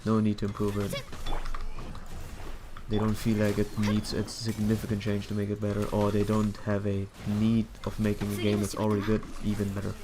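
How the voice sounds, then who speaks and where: A man with a fairly low voice chats casually while streaming a game. He muses and gives opinions, circling back on his words. Clear noise sits behind him. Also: monotone, moderate pace. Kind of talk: casual rambling commentary while gaming.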